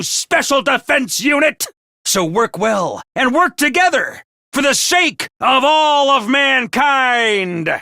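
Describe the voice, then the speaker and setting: A very high, bright little mascot creature, cheery over the announcement system but sly and cold underneath. Its pitch jumps around a lot. The studio recording is close and dry. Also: male voice, smooth, no room sound, full character voice. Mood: announcing. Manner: cheery announcer voice turning snide and callous. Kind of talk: video game voice lines.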